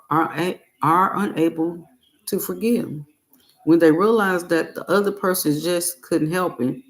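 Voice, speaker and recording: A woman reads a guided self-help meditation at a very slow pace. Her voice has a gravelly fry to it, and she sets phrases apart with long, deliberate pauses. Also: lowish voice, rough recording.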